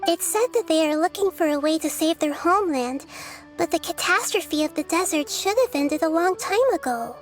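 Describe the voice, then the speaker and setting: A woman's voice: very high-pitched, animated, normal speed, soft background music. A woman voicing a small, childlike nature deity in a video game, wise, kind and curious, with a thoughtful, articulate manner. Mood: curious, puzzled. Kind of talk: scripted video game dialogue.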